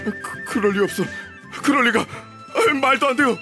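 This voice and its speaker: High-voiced, blustering shifty shopkeeper. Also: male voice, moderate pace, music in the background. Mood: flustered, panicked. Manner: stammering, flustered, indignant. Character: shifty male shop owner, defensive and blustering. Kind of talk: video game voice acting.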